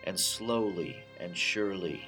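Solemn sci-fi radio narrator, acted by a man: low-pitched, ominous, measured, over prominent music. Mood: grave, ominous. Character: grave, ominous male narrator of alien invasion tale. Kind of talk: scripted radio drama narration.

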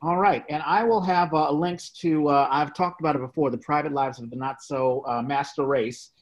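A man hosting a podcast, reading out announcements in an informal, halting way. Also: fairly high pitch, fairly even intonation. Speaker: podcast host. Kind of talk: casual podcast sign-off and announcements.

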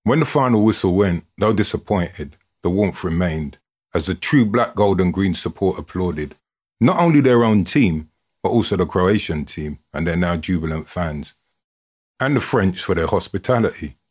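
A man with a husky voice giving an expressive, dramatic scripted reading, like a storyteller narrating a written account. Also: low-pitched, moderate pace. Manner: expressive, dramatic delivery with built-up lists.

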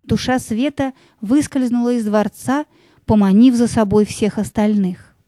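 A woman's voice: a little high, fairly even intonation, measured pace, warm tone, no room sound, clean studio sound. A woman narrator telling a scripted fairy tale as part of an audio play.

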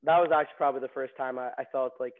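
Young male guest from New York, casual storyteller; narrow pitch, lo-fi phone-quality audio. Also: steady tone, very fast. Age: young adult. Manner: chatty, many fillers and restarts. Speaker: nursing graduate guest. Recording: tinny phone-like line.

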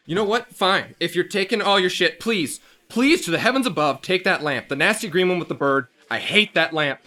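A frazzled young restaurant worker played by a man with a high, bright voice. He rambles and stammers defensively, sarcasm spilling out as his pitch swings around. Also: expressive, a little fast. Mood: bitter, sarcastic. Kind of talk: acted script table read.

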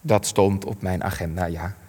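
An actor playing a weary, grave old resistance veteran in a radio play, speaking in solemn, measured phrases with long reverb. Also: male voice, low voice, steady tone, darkish tone, husky. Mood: subdued, hesitant. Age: older. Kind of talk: Dutch radio play acting.

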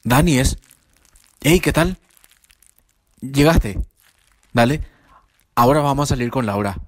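A man's voice: mid-range voice, expressive, a little slow, dry recording. A man playing a laid-back, dismissive young university student in an audio drama, speaking casually with a Paraguayan accent.

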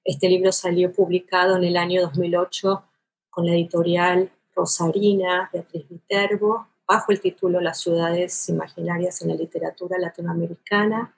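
Husky-voiced Argentine porteño woman scholar. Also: lowish voice, level tone, moderate pace, low-quality recording. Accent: Argentine porteño Spanish. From Buenos Aires, Argentina. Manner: thoughtful, elaborate, with frequent restarts and "¿no?" tags. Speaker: literary scholar, interview guest. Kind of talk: academic interview talk.

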